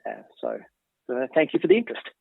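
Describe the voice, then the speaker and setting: A man's voice: fairly high pitch, wildly swooping pitch, fast, gravelly, breathy edge, no room sound. An Australian man in his fifties, a professor giving thoughtful interview answers with many ums and restarts. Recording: dry thin phone-like line.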